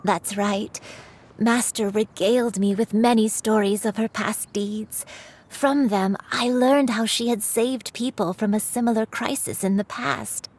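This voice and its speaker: A woman's voice: fairly high pitch, fairly even intonation, measured pace, a little mellow. An actress voicing an elderly grandmother who is secretly a crane spirit, speaking tenderly and with remorse. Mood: remorseful. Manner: tender, sorrowful, confessional. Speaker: game character. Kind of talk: dubbed game dialogue.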